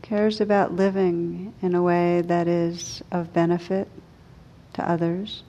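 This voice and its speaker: A woman meditation teacher leading a guided meditation in a gentle, unhurried, soothing way with long pauses. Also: fairly low pitch, level tone, muffled recording.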